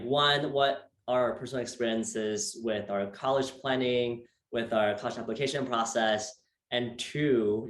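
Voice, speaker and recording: A man's voice: mid-range voice, a bit bright. Young guy from taiwan hosting a chat, upbeat, lots of ums, echoey room. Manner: many fillers, repeats words, upbeat. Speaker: host. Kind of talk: casual interview chat.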